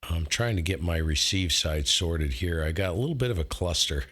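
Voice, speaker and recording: A man with a deep voice and a bright, forceful edge chats casually as a ham radio operator. He talks enthusiastically and leans on his points with emphasis. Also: expressive, moderate pace. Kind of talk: casual chat over radio.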